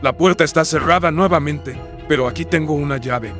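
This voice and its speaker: Horror radio drama: devout friar male performer, higher-pitched, theatrical and formal, alarmed but steadfast, clear music bed. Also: a little fast, a bit bright. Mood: tense resolve. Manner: dramatic, exclamatory, formal old-fashioned phrasing. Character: pious colonial Spanish-era friar, alarmed yet steadfast. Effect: studio voice filter.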